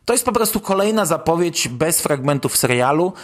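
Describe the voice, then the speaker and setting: A man's voice: fairly high pitch, lively intonation, normal speed. A man hosting a podcast, presenting a news roundup in speech. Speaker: podcast host.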